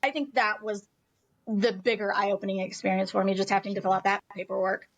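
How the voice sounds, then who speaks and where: A woman's voice: medium pitch, level tone, a little fast. An American woman telling a personal story as an interview guest in a candid, emphatic, conversational way.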